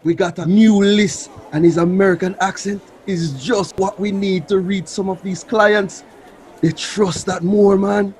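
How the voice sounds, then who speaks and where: High-voiced slick scam boss. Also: male voice, fairly even intonation, unhurried, darkish tone. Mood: pushy, smug. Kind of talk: radio drama acting.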